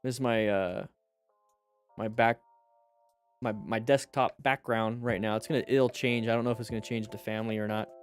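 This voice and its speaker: A man hosting a livestream, chatting casually with viewers in a blunt, joking, animated manner. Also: on the low side, normal speed, clear, resonant tone, no room sound, faint music behind. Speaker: livestream host; firefighter.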